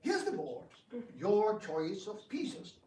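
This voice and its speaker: Proud, sly prince played by a man, stage drama; very animated intonation, roomy distant recording. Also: high voice, slow, exaggerated voice. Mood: smug. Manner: theatrical, smug, feigned politeness. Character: proud scheming prince, sly chess gambler, sore loser. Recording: roomy distant microphone, faint noise.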